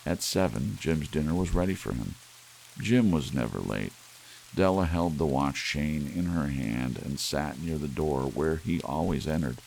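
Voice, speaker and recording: Man, extremely low voice, creaky fry, soft ASMR storytelling, rain and hiss behind. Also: a little slow, low-quality recording. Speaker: audiobook narrator. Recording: audible hiss under steady rain sounds.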